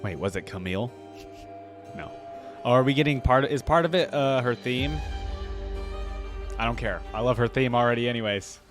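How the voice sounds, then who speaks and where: A man's voice: medium pitch, moderate pace, long pauses. A man giving casual, enthusiastic reaction commentary as the host of a fan channel, speaking informally with slang. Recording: music playing behind the voice. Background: video game theme music.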